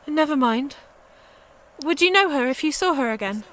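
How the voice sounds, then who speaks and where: A woman's voice: high voice, lively intonation, moderate pace, a bit bright. An actress playing an earnest young peasant woman saint in a radio play, speaking with direct intensity. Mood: tender, insistent. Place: actor's home.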